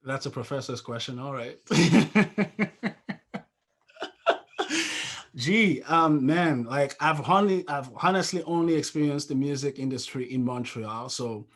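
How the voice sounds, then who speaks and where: A man's voice: mid-range voice, slow pace, pauses often, fairly bright tone. A man in his thirties from Cameroon in a casual question-and-answer conversation on a video call, speaking thoughtfully and often restarting as he searches for words. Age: 30s. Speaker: musician and interview guest.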